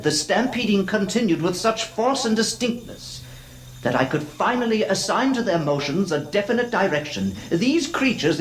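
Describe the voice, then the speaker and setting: An actor playing a haunted gentleman who narrates a horror radio play, intense, with dramatic pauses. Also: high voice, a little hiss or hum, rough recording. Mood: agitated awe.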